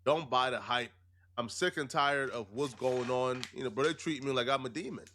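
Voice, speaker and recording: A man's voice: mid-range voice, moderate pace, pushed and bright, slight background noise. A man giving explanatory commentary in an emphatic, rhetorical way with streetwise phrasing.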